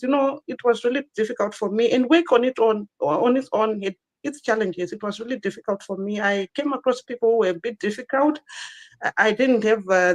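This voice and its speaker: A woman's voice: a little high, rough recording. A woman in her thirties from Zimbabwe, a guest telling her personal story in an online interview, earnest and given to repeating herself.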